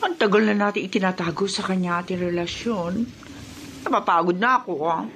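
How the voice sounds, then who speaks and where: A woman's voice: mid-range voice, brisk, a bit bright, slight background noise, natural voice. A woman playing an impatient, coaxing mistress in a Filipino radio drama, with a Filipino accent. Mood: wheedling, impatient. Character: impatient mistress scheming to take her friend's husband.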